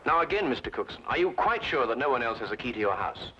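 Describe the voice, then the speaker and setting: An actor playing a polite, slightly bumbling gentleman in an old-time radio drama, mild and courteous in manner. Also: medium pitch, sing-song, fast, slight background noise. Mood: inquiring. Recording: dull old radio recording with music.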